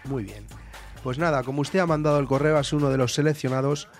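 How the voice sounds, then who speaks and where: A man's voice: mid-range voice, steady tone, quick pace, soft background music. A man hosting a comedy talent-show sketch on the radio, playing a playful presenter and judge.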